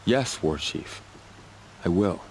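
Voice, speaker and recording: A loyal young warrior in a video game cutscene. His voice sits low and he talks earnestly and plainly, with faint noise behind him. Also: expressive, slow, exaggerated voice. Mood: dutiful. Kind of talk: cinematic video game acting.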